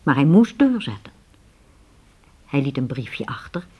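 A woman with a husky voice narrating a radio play as a dramatic, scripted storyteller. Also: very deep voice, sing-song, measured pace, warm tone.

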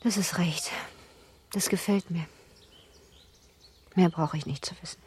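Woman acting a wistful young wife, radio drama, lowish voice, pensive musing, noisy outdoor birdsong ambience. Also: fairly even intonation, a little slow, a little mellow, close to an everyday voice. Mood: contented. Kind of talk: literary radio play acting. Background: birds, outdoor nature sounds.

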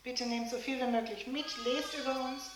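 A woman's voice: high voice, steady tone, normal speed, noisy background. Woman interpreting a sermon in an echoey church. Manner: renders each phrase promptly, sometimes explaining the wording. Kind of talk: live sermon interpreting into German.

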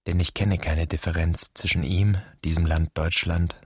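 An actor playing a wounded, searching son who addresses an absent father in measured, poetic radio drama lines. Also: sits low, steady tone, no echo, clean studio sound. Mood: wounded, solemn. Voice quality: husky. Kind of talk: literary radio drama monologue.

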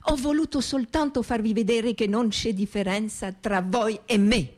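A woman with a husky voice giving theatrical recollections in a radio piece. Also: on the high side, normal speed. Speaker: radio theatre performer and reader. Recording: faint music behind the voice.

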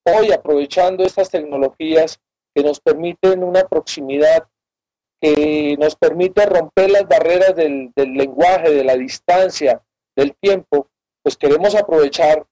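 A man's voice: on the high side, a little slow, dark tone, no echo, rough recording. A man in his fifties speaks as a radio guest, reflecting thoughtfully and searching for his words.

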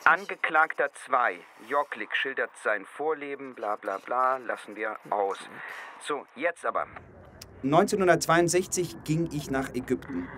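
An actor playing a brisk, self-assured, evasive journalist in a naturalistic radio drama, offhand and hurried. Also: male voice, mid-range voice, lively intonation, pushed and bright, a little hiss or hum. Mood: dictating.

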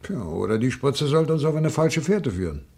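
Shrewd cop in radio krimi, raspy medium voice, terse, dry, matter of fact. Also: male voice, mid-range voice, big pitch swings, normal speed, a little mellow, exaggerated voice. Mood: shrewd, probing. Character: dry, probing male police inspector, calm and shrewd. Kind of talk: German radio crime drama acting.

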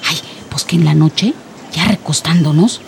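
An actress playing a gossipy, prudish village neighbour in a radio drama, chatty and insinuating, with a Mexican accent. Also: female voice, sits low, moderate pace, creaky, a little hiss or hum. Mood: scandalised gossip.

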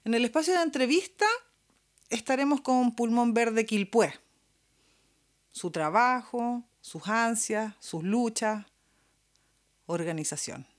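A woman with a Chilean accent, hosting a radio show in a casual, colloquial style. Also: on the high side. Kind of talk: casual chat and show presenting.

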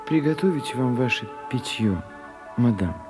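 A man's voice: medium pitch, lively intonation, measured pace, over music, lightly acted. A man playing a fussy, long-suffering secretary to an old actress in a radio drama, deferential yet dryly opinionated. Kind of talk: theatrical radio drama acting.

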